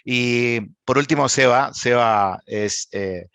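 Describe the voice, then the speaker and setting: Argentine man, mid-pitched, dry sound, eager moderator who stumbles on fillers. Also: slow, vocal fry, fairly bright tone, clear, resonant tone, dry recording. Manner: enthusiastic, with frequent hesitations and fillers. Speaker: panel moderator. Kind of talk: introducing panelists at an online event.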